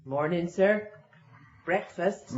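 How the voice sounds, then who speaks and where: An actor playing a superstitious older working-class English housekeeper, homely and deferential, in a radio drama. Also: male voice, sits high, takes it slowly, a little mellow, a little hiss or hum. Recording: dull lo-fi old radio recording.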